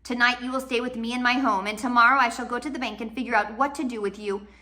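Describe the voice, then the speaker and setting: Officious storybook gentleman, read by a woman, flustered, blustering, bright forceful tone, guardian of children. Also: fairly high pitch, steady tone, fast talker. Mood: firm, apologetic.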